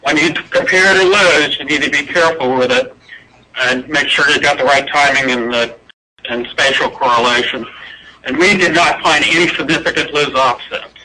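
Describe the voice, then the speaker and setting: Bright-voiced man giving a technical talk. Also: on the high side, moderate pace, rough recording. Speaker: conference presenter. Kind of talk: technical scientific presentation, unscripted. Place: indoor presentation room with projected slides.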